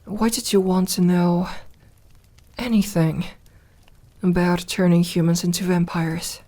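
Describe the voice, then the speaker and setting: A woman voicing a lonely, guarded yet tender immortal vampire girl in an intimate ASMR roleplay, speaking softly and haltingly. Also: on the low side, steady tone, lightly acted. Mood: curious, teasing. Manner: soft, hesitant, halting delivery with sighs.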